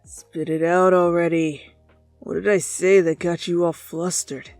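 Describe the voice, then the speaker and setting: A gruff teenage anime boy, played by a woman, speaks low and blunt, with a short temper. He sounds harsh and impatient, recorded close and dry with music underneath. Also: flat delivery, measured pace, darkish tone, no echo. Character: gruff, hot-tempered teenage boy, brusque with hidden softness. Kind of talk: dramatic fan fiction reading.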